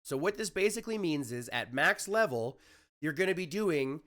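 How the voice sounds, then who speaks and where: Raspy guy just over being sick, rambly game guide talk, lots of uh and pardon me. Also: fairly high pitch, animated, moderate pace, fairly bright tone. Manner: chatty, frequent fillers and self-corrections. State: recovering from illness. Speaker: gaming YouTuber. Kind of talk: casual game guide commentary.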